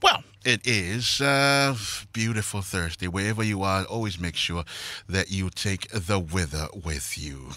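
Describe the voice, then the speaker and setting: A man's voice: low-pitched, measured pace, bright, forceful tone, no echo. A man hosting a morning radio interview in an easygoing, chatty way. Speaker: radio morning show host.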